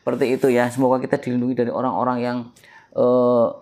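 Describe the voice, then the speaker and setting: A man with a stuffy, nasal voice hosts his own channel about heritage blades. He speaks in a measured, prayerful way, leaving long pauses and gentle fillers as he explains. His pitch sits at an ordinary middle height for a man. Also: completely flat delivery. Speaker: keris collector and channel host. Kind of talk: slow devotional-toned explanation to camera.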